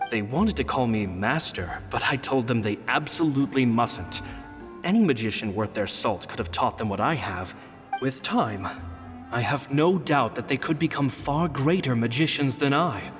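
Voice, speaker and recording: A man voicing a kindly, modest stage magician in a video game, narrating his journal in a gentle, reflective way. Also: mid-range voice, lightly acted. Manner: gentle, storytelling. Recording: long reverb, music behind.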